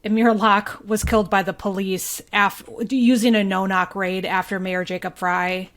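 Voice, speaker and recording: Woman, mid-pitched voice, casual podcast chat, conversational co-host. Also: little pitch movement, normal speed, a bit bright. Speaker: recurring podcast co-host and local journalist.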